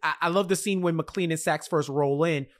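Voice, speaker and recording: A man's voice: on the high side, fairly quick. An American man in his thirties co-hosting a casual TV recap, emphatic and repeating phrases as he builds his point, close on a podcast mic. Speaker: podcast co-host. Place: small home studio indoors. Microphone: large podcast mic close up.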